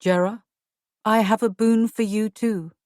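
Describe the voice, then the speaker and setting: A woman voicing a stern middle-aged Tudor queen who speaks in a measured, commanding way. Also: mid-range voice, fairly even intonation, a little mellow, no echo, studio quality, lightly acted. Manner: commanding, measured royal speech.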